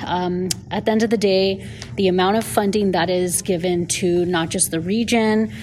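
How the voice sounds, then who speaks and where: A woman's voice: lowish voice, fairly even intonation, moderate pace, darkish tone, faint music behind. A woman giving spontaneous interview answers as a city analyst, explaining things informally. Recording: interview with clear background noise.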